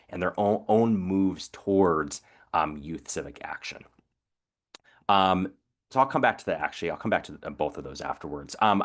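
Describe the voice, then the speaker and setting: Deep-voiced male moderator, video call, thoughtful, halting delivery full of "um"s. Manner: reflective, hesitant with many fillers and restarts. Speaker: moderator of a webinar panel. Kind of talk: online panel moderation.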